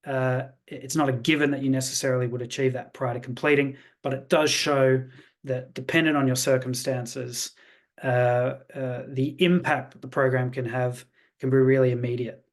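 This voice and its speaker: A man's voice: medium pitch, flat delivery, moderate pace. A man hosting an online information webinar in a polished, salesy way with frequent fillers. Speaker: webinar host, programme representative. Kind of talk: presenting a webinar. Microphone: video call.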